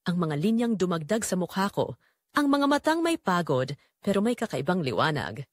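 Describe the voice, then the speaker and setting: A woman's voice: lowish voice, sing-song, normal speed, dry recording, studio quality. A woman playing a lonely middle-aged widowed mother who tells her secretly yearning story in an audio drama. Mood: conflicted yearning. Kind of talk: first-person dramatic audio story narration.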